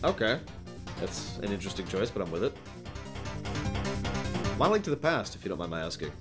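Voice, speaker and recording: A man's voice: low voice, very animated, brisk. A man hosting a live stream, chatting casually with viewers in an emphatic, opinionated way full of conversational asides. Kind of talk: casual livestream talk to chat, answering viewer questions. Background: background music.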